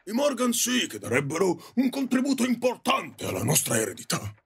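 A man's voice: high-pitched, huge pitch swings, brisk, gravelly, slightly rough, heavily acted. A man playing a stern, overbearing father in an audio drama, scolding and domineering. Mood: angry, domineering. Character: stern, overbearing father pushing daughter into marriage.

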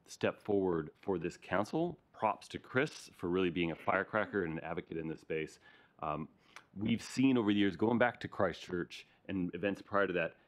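Brief: middle-aged American man, low voice, relaxed presenter speaking to a council chamber, tags points with "right?". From Arizona, USA. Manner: conversational, frequent "right?" tag questions. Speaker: regional emergency recovery manager. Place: council chamber, large meeting room.

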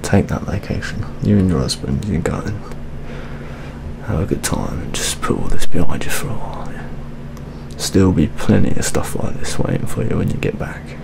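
Deep gravelly British detective, acted by a man, half-whispered. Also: noisy background. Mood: weary, fatherly. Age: young adult. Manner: hushed, slow, colloquial, partly whispered. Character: weary, world-worn British male police detective, fatherly. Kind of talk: whispered ASMR roleplay.

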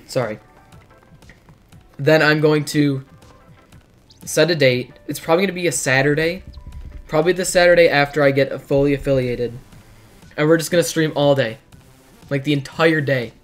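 A teenage boy, hoarse from hours of streaming, chatting casually and rambling while reacting to his chat in a dim bedroom. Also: fairly high pitch, moderate pace, pauses often, soft background music.

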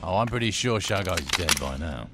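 A man's voice: deep voice, animated, moderate pace, very noisy. A man playing a confident, sardonic gang boss in a video game, brash and commanding. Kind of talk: video game cutscene acting.

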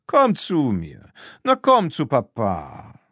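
A man's voice: medium pitch, wildly swooping pitch, slow, fairly bright tone, no echo, clean studio sound, voice pushed up, exaggerated voice. A man voicing a doting cat owner in a children's audiobook, calling out and coaxing.

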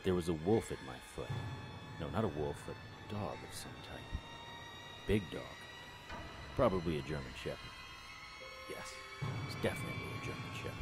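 A man performs a world-weary male detective in a noir radio play. The voice is very low and the delivery is clipped and wry. Faint music plays behind it. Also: lively intonation, moderate pace, gravelly, long pauses, full character voice. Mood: groggy, musing. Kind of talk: first-person noir audio drama narration.

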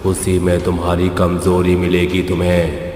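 A man's voice: low voice, monotone, darkish tone, a little hiss or hum. A man voicing a solemn, commanding demon god in a cartoon, with his lines drenched in echo. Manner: slow, grave pronouncements. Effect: echoing reverb. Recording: heavy echo reverb.

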